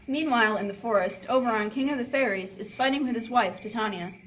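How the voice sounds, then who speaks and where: A woman's voice: on the high side, quick pace, fairly bright tone. A woman narrating a story from a script, with playful asides. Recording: slightly distant, faint background noise.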